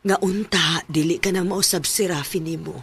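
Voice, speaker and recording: A gentle, mature mother with a husky voice and Cebuano Filipino accent. She speaks with tender care, as in a radio drama. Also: on the low side, steady tone, moderate pace. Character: gentle, caring middle-aged or older mother. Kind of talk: Bisaya radio drama acting.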